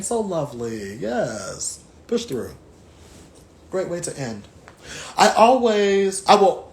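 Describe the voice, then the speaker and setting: An American man speaks as a guest reader at a book talk. His delivery is thoughtful and halting, broken by frequent pauses. His pitch sits in a middle range for a man, and the style is reflective. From Southern United States.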